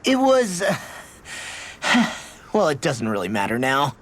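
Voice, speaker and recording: A man's voice: fairly high pitch, huge pitch swings, takes it slowly, a bit bright, husky, exaggerated voice. An actor playing a brash but earnest teenage boy fighter who talks informally in a video game cutscene. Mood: earnest, admiring.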